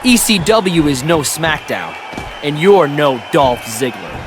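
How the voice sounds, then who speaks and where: An actor playing an arrogant, dismissive pro wrestling rival in a video game, haughty and condescending. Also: male voice, on the high side, big pitch swings, slow, over music, a crowd in the background. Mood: threatening. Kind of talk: video game cutscene acting.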